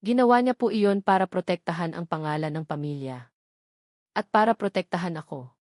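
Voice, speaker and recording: A woman's voice: on the low side, brisk, darkish tone, dry recording, lightly acted. A woman reads as a middle-aged housekeeper in a story drama. She is deferential and full of remorse, pleading with her employer. The recording is dry. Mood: confessing. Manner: pleading, respectful.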